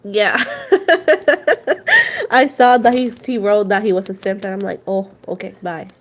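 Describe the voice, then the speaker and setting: A woman's voice: medium pitch, very animated, takes it slowly, fairly bright tone. A woman with a Puerto Rican accent hosting a casual tarot livestream, chatting with viewers and switching between Spanish and English. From Puerto Rico. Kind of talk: casual livestream chat reading viewer comments.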